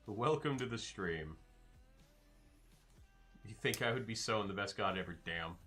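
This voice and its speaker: A man's voice: on the low side, lively intonation, unhurried, pushed and bright, faint music behind. A man streaming live, casually reading and answering his chat with loose, joking replies.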